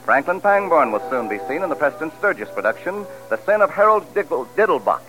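A man with a fairly high voice announces a vintage 1940s radio show. His delivery is formal and polished but very melodic, with music clearly playing behind him. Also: sing-song, quick pace, a bit bright, poor audio.

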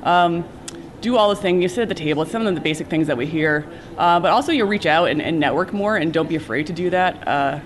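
A cybersecurity professional giving earnest advice in a conference interview, with many fillers and restarts. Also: male voice, fairly high pitch, quick pace.

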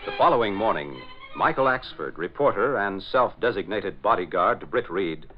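Male radio-serial narrator, low voice, scripted dramatic storytelling, muffled old recording, music behind. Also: animated, normal speed.

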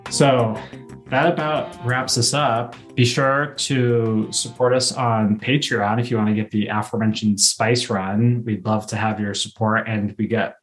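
A man's voice: fairly low pitch, steady tone, measured pace, faint music behind. A man hosting a podcast in a casual, chatty fan-discussion style. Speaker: podcast host.

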